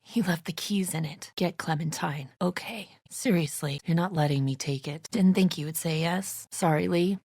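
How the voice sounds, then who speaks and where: A woman's voice: lowish voice, normal speed, few pauses, darkish tone, slightly rough, no echo, studio quality. A woman acting an embattled, suspicious, volatile survivor in a zombie video game, with a confrontational, accusing manner. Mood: subdued, bitter.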